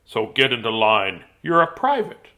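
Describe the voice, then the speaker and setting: Casting: man as blustering male war general for a storybook, pompous, loud, forceful delivery with big swings. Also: wildly swooping pitch, moderate pace, heavily acted. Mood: blustering, commanding. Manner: pompous, booming commands.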